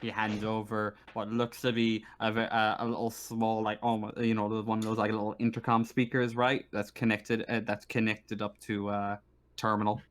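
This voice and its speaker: Halting male game master. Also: on the low side, flat delivery. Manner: halting, searching for words. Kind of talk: improvised tabletop game narration.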